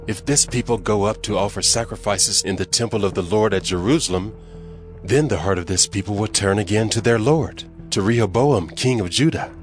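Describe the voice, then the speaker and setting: A man's voice: lowish voice, brisk, faint music behind, lightly acted. An actor playing a scheming, anxious king of ancient times, brooding aloud in a dramatized audio Bible.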